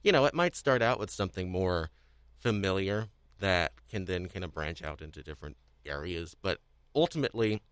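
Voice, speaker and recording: Low-pitched, rambling man on a podcast. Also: lively intonation, moderate pace, clear, resonant tone, dry recording, clean studio sound. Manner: rambling, hesitant phrasing. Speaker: podcast co-host. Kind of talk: casual panel chat.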